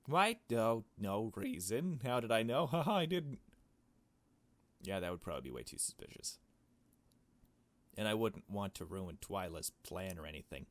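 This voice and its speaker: A man with a fairly low voice narrates a visual novel as he plays. He moves between reading the text aloud and making casual, blunt remarks of his own. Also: animated, normal speed, long pauses. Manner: casual, blunt asides with swearing. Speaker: gaming video host.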